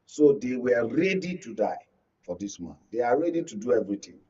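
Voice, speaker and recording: A man's voice: medium pitch, big pitch swings, brisk, dark tone, breathy edge. Distant-sounding Nigerian man, emphatic political analyst. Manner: emphatic, repetitive, rhetorical. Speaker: media analyst, studio guest. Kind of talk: political commentary in discussion. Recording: dull lo-fi audio, distant mic.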